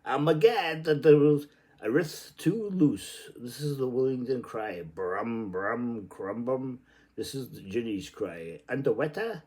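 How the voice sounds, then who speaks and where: A man's voice: mid-range voice, lively intonation, slow pace, a little mellow. A man reading literary prose aloud in a theatrical, playful and exclamatory way. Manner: playful, exclamatory delivery with sudden outbursts. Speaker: reader.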